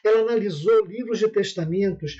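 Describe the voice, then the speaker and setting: High-voiced Brazilian man lecturing. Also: brisk, warm tone, breathy edge, lo-fi sound. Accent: Rio de Janeiro Portuguese. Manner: explanatory, checks in with "tá?" and "né?", frequent restarts. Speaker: spiritual center leader teaching a class. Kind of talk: informal lecture.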